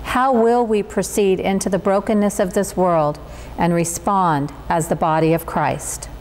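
A woman's voice: fairly low pitch, steady tone, a little slow, a little mellow. A woman giving a scripted liturgical reading in a formal church service style. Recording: long hall reverb, faint noise.

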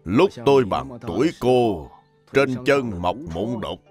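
A man's voice: mid-range voice, animated, slow pace, darkish tone, faint music behind. A man voicing a humble elderly Buddhist monk who teaches patiently in plain, simple words for a cartoon. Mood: recollecting. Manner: didactic, plain, repeats instructions simply. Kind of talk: dubbed animated religious biography.

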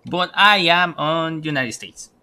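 A man's voice: on the high side, measured pace, bright, forceful tone, clean tone. A man from Mexico, a streamer chatting casually and joking while he plays a game. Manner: joking, laughs often. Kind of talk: casual gaming chat.

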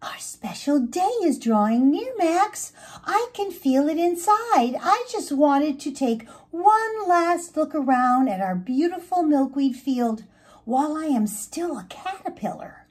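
A woman's voice: high voice, big pitch swings, a little slow, a little mellow, pitched above the performer's own voice. A woman voicing a friendly, polite little female caterpillar in a children's storybook, with a lilting delivery. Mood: wistful.

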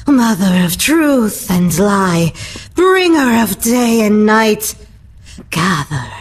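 An actress playing a cruel, fanatical evil nun in a horror video game, scolding and threatening in a theatrical way. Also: female voice, mid-range voice, a little hiss or hum. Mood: solemn chanting.

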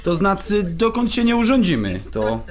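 A man's voice: sits high, big pitch swings, normal speed. An actor playing a dutiful, peacemaking young newlywed man in a radio drama. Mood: placating. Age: young adult.

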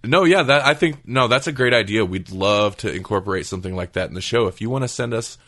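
A man's voice: fairly low pitch, fast, fairly bright tone. A man hosting a podcast in casual chat, with a joking, rambling manner. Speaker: podcast host.

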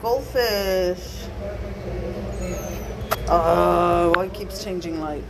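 A woman's voice: fairly low pitch, very slow, gravelly, background noise. A woman chatting casually on a handheld phone, moving between English and Spanish with short questions and exclamations. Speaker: vlogger, mother touring an aquarium with her children.

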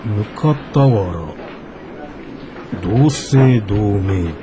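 An actor playing a flustered, grumbling office worker in a naturalistic radio drama. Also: male voice, lowish voice, expressive, drawn-out words, background noise. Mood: puzzled, downbeat. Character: flustered, grumbling adult male office worker, once class leader.

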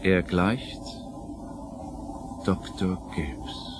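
A man narrating an audio play in a scripted, dramatic style, measured and suspenseful. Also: low voice. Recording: narration over clear music.

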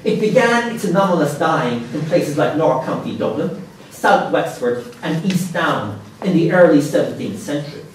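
Male keynote speaker, 30s, somewhat high voice, animated lecturing with wry asides, echoey hall, mic a little distant. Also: normal speed, slight background noise. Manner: animated, reads quotations aloud with dry asides. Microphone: lapel mic.

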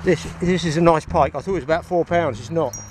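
A man's voice: a little high, quick pace. An older man hosting a fishing show, chatting casually and exclaiming to viewers in an outdoor recording. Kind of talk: casual fishing vlog commentary to camera. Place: outdoors on a grassy riverbank. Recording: outdoor location sound with background noise.

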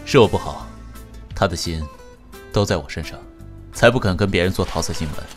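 An actor in a Chinese audio drama playing an indulgent, wistful company president, gentle, measured and understated. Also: male voice, deep voice, soft background music, lightly acted. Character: soft-spoken, indulgent, wistful male company president in love.

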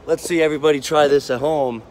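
A man's voice: fairly high pitch, steady tone, fast talker. A man in his twenties with an American accent, a coach giving casual instructions to kids on a phone outdoors. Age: 20s. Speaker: sports coach. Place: outdoor backyard. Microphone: phone, at arm's length, then farther away. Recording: outdoor noise, phone video.

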